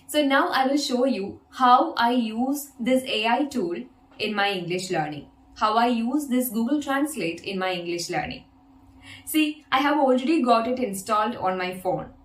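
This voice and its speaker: A woman's voice: fairly high pitch, expressive, moderate pace, echoey room. A woman whose first language is Malayalam, teaching an English lesson and patiently demonstrating an app step by step. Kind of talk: video lesson.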